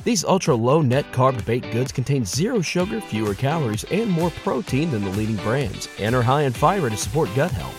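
A man's voice: medium pitch, very animated, brisk, hardly any pauses. A man reading a scripted advertising voice-over. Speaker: commercial voice-over. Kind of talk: scripted ad read. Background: music.

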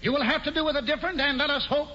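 An actor playing a pompous, scheming colonial governor, grandiose and sly in 1940s radio acting, on a muffled old broadcast. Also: male voice, very high-pitched, fairly even intonation, rapid-fire, pushed and bright, slight background noise. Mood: oratorical. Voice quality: grandiose, mock-genial, sly. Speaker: governor Stuyvesant.

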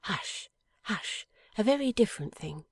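A woman reads a devoted young wife in an audiobook, gentle and earnest in a calm, loving voice. Her voice is slightly breathy, with a dry, close sound, and it is a touch higher than the reader's narration. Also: unhurried, dry recording, full character voice. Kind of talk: audiobook character voice.

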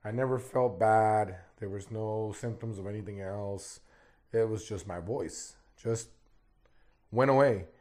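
A man's voice: on the low side, measured pace, darkish tone. A man hosting a casual solo podcast, talking in a rambling way with repeated words, his voice still recovering after he lost it. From Arizona, United States. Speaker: podcast host.

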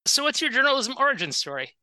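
A man's voice: extremely high pitch, big pitch swings, quick pace, sharp tone, dry recording. A man hosting an interview podcast, reading a scripted opening and then asking short, friendly questions. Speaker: podcast host.

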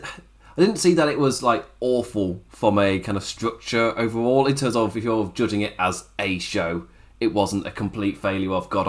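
A man with a strained, raspy voice hosting a casual wrestling review podcast, rambling with frequent chuckles and self-corrections. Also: medium pitch. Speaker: wrestling show host.

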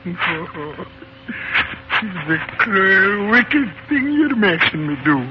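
An actor playing a wily, excitable little old leprechaun with an Irish accent and a wheedling, theatrical manner in a 1940s radio play. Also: male voice, high voice, extremely slow, heavy background noise, exaggerated voice. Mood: grumbling. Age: older. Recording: dull lo-fi 1940s radio recording.

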